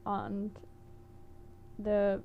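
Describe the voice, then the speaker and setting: A woman's voice: medium pitch, big pitch swings, extremely slow, vocal fry, phone-quality sound, a little hiss or hum. A woman from Denmark with a Danish accent, teaching words in a casual, hesitant vlog with many fillers and small laughs. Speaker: language teacher vlogger.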